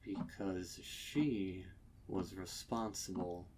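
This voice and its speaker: Horror narrator: male, fairly deep, extremely unhurried, somber dramatic reading, slight background noise. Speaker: fan fiction narrator.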